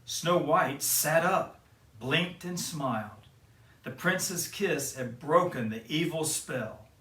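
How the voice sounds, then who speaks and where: A man gently reading a children's storybook aloud, soft-spoken and sometimes dropping to a hush. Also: fairly high pitch, lively intonation, takes it slowly. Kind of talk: reading a picture book aloud to kids. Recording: roomy sound from a distant mic with guitar music. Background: guitar music.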